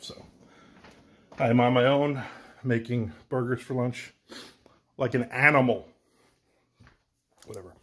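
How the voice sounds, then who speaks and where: A man's voice: medium pitch, a bit bright. A man in his forties, filming himself on a phone at arm's length at home, talking in a blunt, rambling, wry way while flipping burgers. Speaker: author vlogger. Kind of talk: casual walk-and-talk update. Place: home, indoors and backyard. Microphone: phone held at arm's length.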